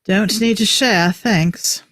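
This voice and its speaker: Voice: medium pitch, takes it slowly, fairly bright tone. An actor playing an exasperated, guarded male time traveller in a script table read, with a curt delivery. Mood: irritated.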